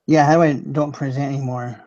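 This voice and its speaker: A man hosts a live stream in a loose, rambling chat, chuckling as he goes. His voice sits at an ordinary pitch. The audio is dull and lo-fi. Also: steady tone, fairly quick, a little mellow. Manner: rambling, laid-back, chuckles often.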